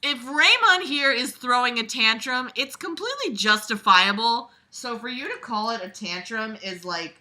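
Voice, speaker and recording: A woman's voice: fairly high pitch, lively intonation, normal speed, few pauses, sharp tone. A woman reading a book aloud and critiquing it casually, blunt, exasperated and sweary, with sarcastic commentary.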